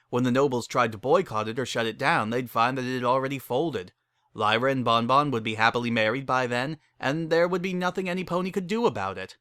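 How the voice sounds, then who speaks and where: A man narrates a story in a dramatic reading style. His voice is mid-pitched, bright and forceful, recorded dry without room sound. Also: no room sound.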